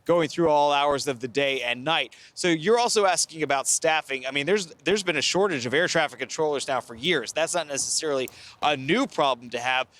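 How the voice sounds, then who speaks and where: A middle-aged American man reporting live as a TV news correspondent, speaking in a measured, careful, explanatory way. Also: a little high, a bit bright. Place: outdoors by a river at night.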